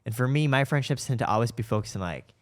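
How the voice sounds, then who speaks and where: A man's voice: medium pitch, animated, fast. A man hosting a podcast in a casual, conversational interview style, speaking informally and with enthusiasm. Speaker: podcast host and startup co-founder.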